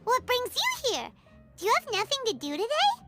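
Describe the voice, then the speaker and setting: A tiny, naive fairy sidekick from a video game. The voice is extremely high and childlike, leaping wildly up and down as it chirps and exclaims. Loud music plays behind it. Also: female voice, wildly swooping pitch, normal speed, exaggerated voice. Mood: excited, curious. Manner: exclamatory, refers to self in third person.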